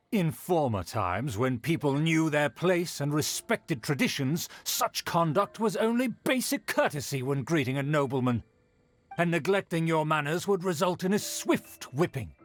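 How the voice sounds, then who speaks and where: A man's voice: fairly high pitch, fairly bright tone. A man playing a pompous, indignant aristocrat in a video game, speaking in a haughty, formal, scolding manner. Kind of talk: scripted video game character acting.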